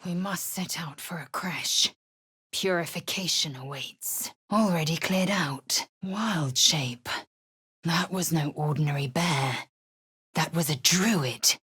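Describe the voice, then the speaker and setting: A woman's voice: lowish voice, fairly bright tone, husky, heavily acted. An actress voicing a stern female githyanki warrior who speaks in clipped, commanding, contemptuous lines for a video game.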